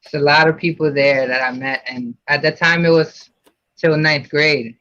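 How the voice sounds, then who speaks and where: Man from Puerto Rico, bright dull-sounding voice. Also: a little high, fairly even intonation, normal speed, no echo. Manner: rambling, many fillers and restarts. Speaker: guest. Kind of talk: casual interview.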